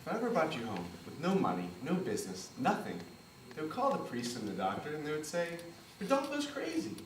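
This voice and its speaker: A man plays a proud young Italian immigrant with an Italian accent, his voice ranging widely in pitch as it moves from soft tenderness to furious protest. The recording is roomy and taken from a distance, with faint noise behind. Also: wildly swooping pitch, brisk. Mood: earnest. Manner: swings from tender murmurs to impassioned outbursts. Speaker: stage actor. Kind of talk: stage play performance.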